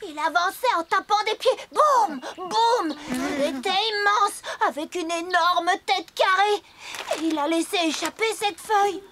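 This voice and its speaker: A woman voicing an excitable young animal friend who tells things dramatically, in a cartoon. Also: very high voice, measured pace, very noisy. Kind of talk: cartoon voice acting. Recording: studio voice with loud cartoon music.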